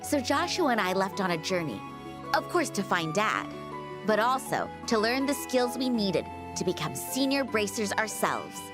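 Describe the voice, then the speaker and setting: Peppy teen heroine, voiced by a woman, wide lively pitch, game track with clear background music. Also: moderate pace, lightly acted. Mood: upbeat. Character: spirited teenage girl heroine, cheerful and determined. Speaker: game character narrating a recap. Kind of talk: scripted game voice acting. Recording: game audio with music underneath.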